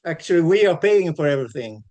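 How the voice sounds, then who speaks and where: A man, a guitarist answering interview questions in a halting, modest way over a video call. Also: on the high side, lively intonation, darkish tone. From Sweden. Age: 60s.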